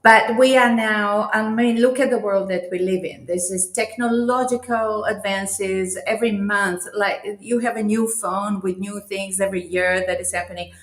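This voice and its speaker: A woman from Croatia talks about her career as a change specialist, thinking aloud at an unhurried pace. Her voice sits in the middle of the range, and the video call picks up a roomy echo around her. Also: mid-range voice, fairly even intonation. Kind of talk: interview over video call.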